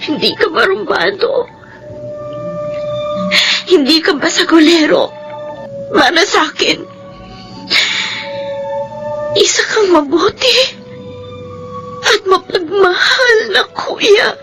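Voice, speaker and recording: A Filipino actress playing a wronged, emotional wife in a radio melodrama, protesting with feeling. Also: female voice, very high-pitched, lively intonation, slow, long pauses, over music, exaggerated voice, poor audio. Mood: tender, tearful. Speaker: radio drama actor.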